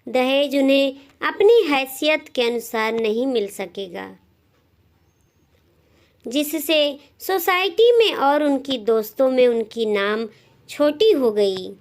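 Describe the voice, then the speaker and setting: A woman narrating a scripted moral story as a storyteller, in deliberate phrases with frequent pauses. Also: sits high, animated.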